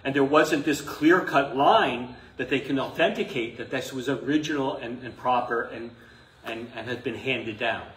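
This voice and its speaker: A man's voice: medium pitch, moderate pace, a bit bright. A middle-aged man, a priest giving an informal class, conversational and emphatic, with rhetorical questions and asides. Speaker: Orthodox priest teaching a class. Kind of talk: informal live lecture to a class. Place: small indoor classroom. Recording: echoey room, some noise, mic at a distance.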